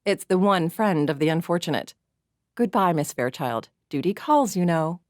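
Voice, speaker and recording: A composed young gentleman, calm and lightly wry, never raising his voice, as a female storyteller reads him. The tone stays low and even, close to the mic in a dry, deadened space. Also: normal speed, no room sound, close to an everyday voice. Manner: calm, light, understated delivery. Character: composed young man, wry and smooth-mannered.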